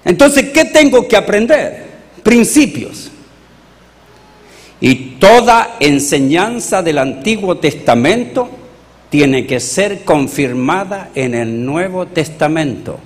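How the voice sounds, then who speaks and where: A Chilean man, a pastor, preaching a sermon with animated rhetorical questions to his congregation. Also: a little high, slow pace, long reverb tail.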